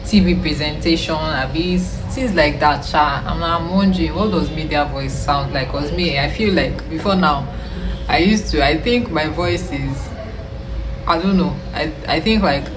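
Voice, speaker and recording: A young Nigerian woman with a husky voice and a Nigerian accent, chatting in a rambling, casual way with Pidgin slang while doing her makeup for a vlog. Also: low voice, unhurried, noisy background. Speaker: beauty vlogger. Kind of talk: casual get-ready-with-me chat. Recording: music playing underneath. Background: music.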